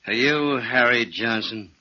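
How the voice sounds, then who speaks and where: An actor playing a menacing hired gunman in a 1940s radio drama, slow and mocking, on a dull old recording. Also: mid-range voice, pushed and bright.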